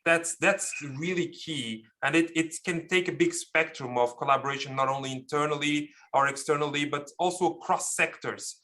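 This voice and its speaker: A man's voice: fairly high pitch, normal speed, hardly any pauses, bright, forceful tone. A middle-aged man from Portugal, answering interview questions as a podcast guest in an explanatory, emphatic way. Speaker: podcast guest, pharmaceutical executive.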